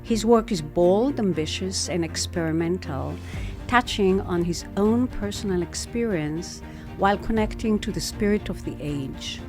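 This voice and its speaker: A woman's voice: on the low side, measured pace, over music. A woman hosting an interview show, presenting her guest and asking questions. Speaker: interviewer and host. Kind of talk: scripted introduction and interview.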